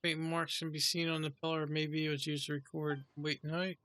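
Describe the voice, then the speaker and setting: A man's voice: a little high, little pitch movement, moderate pace, fairly bright tone, dry recording. A man giving casual playthrough commentary, muttering to himself as he plays and reads. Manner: muttering to himself, reading on-screen text aloud. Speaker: video game player.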